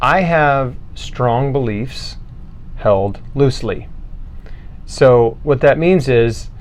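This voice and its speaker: A man's voice: mid-range voice, animated, slow. A man hosting an interview, talking in a conversational way to his guest and audience. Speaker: interviewer and show host.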